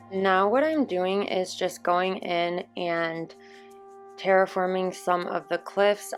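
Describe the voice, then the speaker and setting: A young woman hosting her channel, chatting casually over gameplay, her voice a little hoarse. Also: lowish voice. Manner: relaxed, chatty explaining with fillers. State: slightly hoarse from screaming. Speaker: gaming channel host. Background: soft background music.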